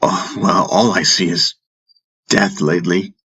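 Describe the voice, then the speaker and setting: A man's voice: low voice, steady tone, a little slow, fairly bright tone, slightly rough, full character voice. A man voicing a troubled, confused warrior, humble before his master, who speaks hesitantly and pleadingly in a fantasy audiobook. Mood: despondent.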